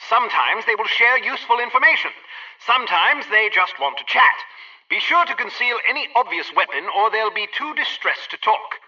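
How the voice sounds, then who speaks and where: A prim male gadget instructor from a spy video game, heard a little far from the mic. His tone is very sharp and forceful, with an animated rise and fall. He gives helpful tutorial instructions in formal, precise phrasing. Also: sits high, normal speed, muffled recording, exaggerated voice. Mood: instructive. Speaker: training instructor. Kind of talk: scripted game tutorial narration.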